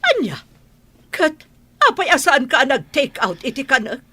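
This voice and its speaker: A highish, lively voice as a wealthy Filipina madam. She is haughty, demanding and curt in classic radio drama manner. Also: measured pace, heavily acted. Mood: annoyed.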